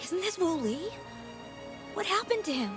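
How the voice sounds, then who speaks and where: A woman's voice: very high-pitched, sing-song, normal speed. An actress playing an eager, deferential young townswoman in a video game, hesitant and earnest. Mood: concerned. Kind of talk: scripted game dialogue. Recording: game music behind voice.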